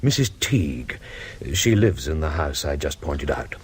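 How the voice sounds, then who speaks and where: Sly probing investigator, low voice, swinging intonation, offhand, teasing, radio play. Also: male voice, big pitch swings, slight background noise, heavily acted. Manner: teasing, insinuating, deliberately offhand. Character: sly, probing male investigator, casually playful. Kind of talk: radio drama dialogue.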